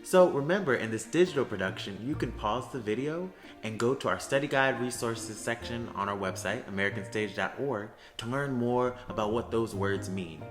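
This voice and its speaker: A man's voice: medium pitch, moderate pace, few pauses. A man working as a theater education associate gives upbeat, friendly explanations to students. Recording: roomy echo with soft music.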